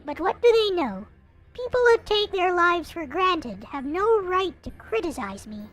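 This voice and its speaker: Female narrator, high and lively, theatrical read-aloud with playful asides, music underneath. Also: unhurried. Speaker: host reading a visual novel aloud. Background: background music.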